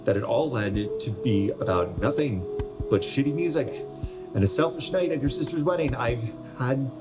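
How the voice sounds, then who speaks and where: An American man playing a weary, bluntly honest friend in his twenties in a naturalistic radio play, with measured delivery. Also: medium pitch, lively intonation, music in the background, close to an everyday voice. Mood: bitter, accusing. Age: young adult. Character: resigned 27-year-old male hometown friend, weary and bluntly honest.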